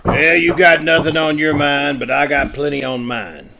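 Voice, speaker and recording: An actor playing a gruff, curious police inspector with a gravelly voice, in 1940s radio detective style. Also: male voice, a little high, moderate pace, noisy background. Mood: gruff, inquisitive.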